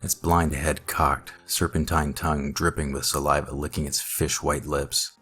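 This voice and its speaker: A man narrating a scripted first-person horror story in a measured, dramatic way. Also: very deep voice, level tone, pushed and bright, breathy edge. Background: music.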